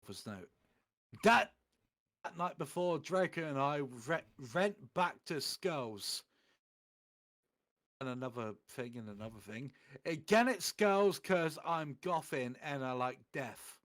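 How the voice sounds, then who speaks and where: A man's voice: fairly high pitch, lively intonation, takes it slowly, fairly bright tone. A man doing a theatrical, comedic reading of amateur fan fiction, putting on voices for the quotes.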